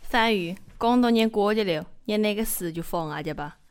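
A woman's voice: mid-range voice, fairly quick, clean tone, close to an everyday voice. A woman acting a guarded, naive young female student in a Chinese audio drama, speaking with a regional dialect accent. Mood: resigned. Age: young adult.

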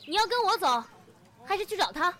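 A woman playing a teasing, proud teenage schoolmate in an audio drama, expressive and by turns offhand and dramatic. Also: very high voice, a little fast, noisy background. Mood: annoyed. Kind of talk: Chinese audio drama acting.

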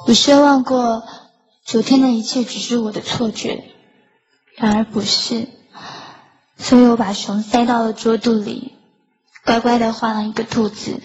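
A soft, wistful teenage schoolgirl telling her story in an audio drama, voiced by a woman. Her voice sits a bit high. The sound is dull and lo-fi, with clear music underneath. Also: fairly even intonation, a little slow, pauses often, lightly acted. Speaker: lead and narrator. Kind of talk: audio drama acting and narration.

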